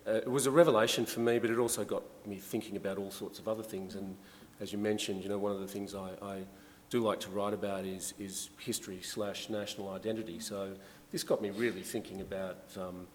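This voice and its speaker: A man's voice: on the low side, a little fast, hardly any pauses. A man, a journalist, talking casually on a discussion panel with many fillers. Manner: relaxed, conversational, frequent fillers. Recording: long hall reverb, faint background noise.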